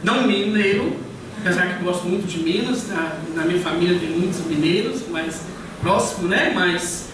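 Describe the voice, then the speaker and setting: A middle-aged man with a Goiás Brazilian accent, preaching as a guest pastor in a relaxed, conversational way with many fillers. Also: high voice, moderate pace, recorded from a distance. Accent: Goiás Brazilian Portuguese. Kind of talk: church sermon. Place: church hall. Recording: echoey church hall, picked up from afar, noisy.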